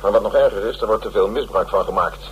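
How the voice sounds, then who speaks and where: An actor playing a pompous, self-pitying older theatre director in a radio drama, speechifying. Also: male voice, lowish voice, quick pace, slight background noise, close to an everyday voice. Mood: grandly earnest.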